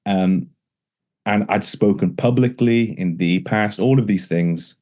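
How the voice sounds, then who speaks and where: A man's voice: on the low side, unhurried, warm tone. A man, a pastor, talking in an interview conversation, with a stammer that shows in repeated words and restarts.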